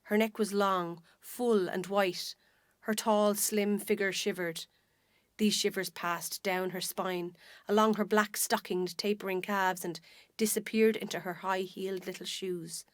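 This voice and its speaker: An Irish woman narrates a dramatic short story. She tells it in a measured, descriptive manner, at a middling pitch, and the sound is dry and close. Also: steady tone, dry recording.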